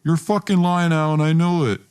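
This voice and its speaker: A man's voice: on the high side, steady tone, moderate pace, a little mellow, clean tone, close to an everyday voice. Guy playing a bitter young dude turning on his best friend, accusing, confrontational, story reenactment. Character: hostile, bitter young male best friend demanding money. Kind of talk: acted dramatized dialogue.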